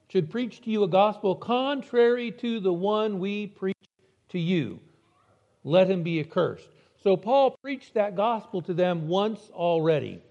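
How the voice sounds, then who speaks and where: A man delivering a church sermon, slowing down to stress key words. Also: high-pitched, a little mellow, highs cut off. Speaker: preacher. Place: church building.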